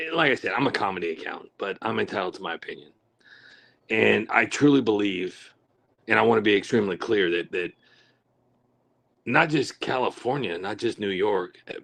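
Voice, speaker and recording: A man with a noticeable lisp talks to his viewers in a loose, meandering way, restarting sentences and leaning on filler words. His voice sits low and has a gravelly edge. He is outdoors among trees, streaming from his phone. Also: a bit bright. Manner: rambling, halting, many fillers and restarts. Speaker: livestream host. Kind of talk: casual live-stream chat. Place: outdoors in the woods.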